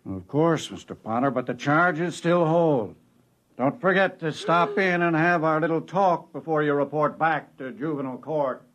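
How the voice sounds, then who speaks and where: A man's voice: a little high, moderate pace, a bit bright, natural voice. A man acting a firm, officious police officer in an old radio drama. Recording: dull old radio recording.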